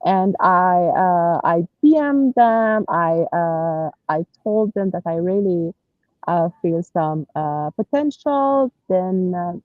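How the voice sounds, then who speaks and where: A woman's voice: fairly low pitch, dry recording, phone-quality sound. A woman from Iran, speaking English with an Iranian accent, answering interview questions in an earnest, hesitant way with many fillers and restarts. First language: Persian. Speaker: guest. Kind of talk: conversational interview.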